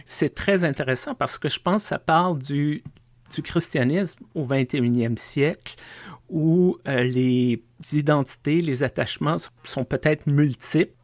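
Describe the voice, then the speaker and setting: A man's voice: fairly high pitch, a little slow, dry recording, studio quality. A man with a Québécois French accent co-hosting a podcast as a pastor, speaking conversationally from his home basement. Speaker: podcast co-host and United Church pastor.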